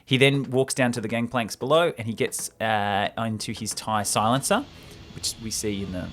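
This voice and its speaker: A man's voice: fairly low pitch, normal speed, a bit bright, soft background music. A man hosting a show, retelling and commenting on a story in a casual, informal, explanatory way. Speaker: host retelling a film script.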